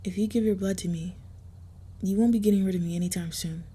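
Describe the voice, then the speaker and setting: A woman playing a guarded, gentle, possessive vampire in an intimate roleplay audio, soft and hushed, with a hesitant, measured delivery. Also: mid-range voice, steady tone. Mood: serious, intimate. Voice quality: soft, husky, breathy. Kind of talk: intimate roleplay audio with first-person narration.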